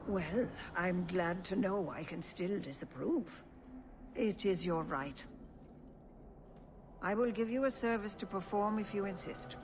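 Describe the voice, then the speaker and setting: An actress playing a calm, stern yet caring older elven clan leader in a fantasy game, speaking formally. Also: female voice, mid-range voice, background noise, lightly acted. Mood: solemn, disapproving. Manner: measured, formal. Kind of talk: fantasy video game voice acting.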